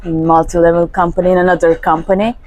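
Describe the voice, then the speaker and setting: A woman from Turkey speaks calmly and steadily in a fairly low voice, giving interview answers. The sound is dry and close, with no room around it. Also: fast talker, dry recording, lo-fi sound. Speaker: company general manager, guest.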